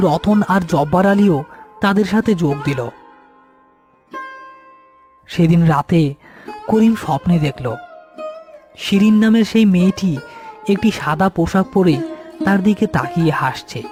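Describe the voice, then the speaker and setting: A man's voice: high voice, unhurried, long pauses, darkish tone. A man narrating a scripted ghost story, with music behind him.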